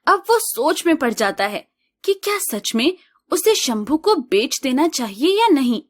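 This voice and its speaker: A woman narrating an animated village story in a slow, even storytelling manner. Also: high voice.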